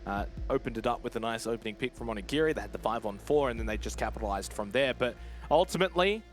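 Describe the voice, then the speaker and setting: A man's voice: medium pitch, fast talker, faint music behind. A man calling live esports play-by-play, recapping rounds and scores in a conversational way. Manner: conversational, calls action and recaps. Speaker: play-by-play caster.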